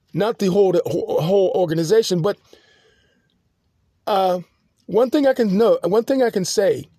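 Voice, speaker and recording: A man hosting a podcast, telling a long personal story in a halting, rambling monologue full of restarts and fillers. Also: high voice, warm tone, dry recording, clean studio sound. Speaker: podcast host.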